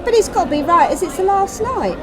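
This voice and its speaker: A woman voicing a chatty, deferential theatre dresser in an animated short-story reading. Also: very high voice, fairly quick, pitched above the performer's own voice. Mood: apologetic, flustered. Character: chatty, over-eager young female theatre dresser, apologetic. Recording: loud crowd noise in public room.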